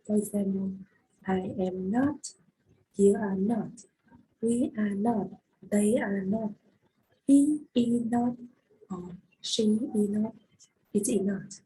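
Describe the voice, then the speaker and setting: A woman's voice: mid-range voice, slow, pauses often, dark tone, a little hiss or hum, rough recording. A young woman in her twenties with a Vietnamese accent, teaching a recorded grammar lesson with many hesitations and fillers. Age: 20s. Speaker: English teacher.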